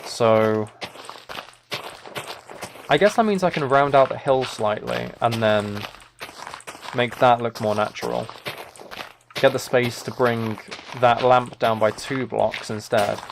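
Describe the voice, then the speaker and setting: Gravelly-edged man muttering over a game. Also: medium pitch, slow pace, long pauses. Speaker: livestreamer. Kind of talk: casual gaming commentary. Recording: keyboard typing and clicking noise. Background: keyboard and mouse clicks.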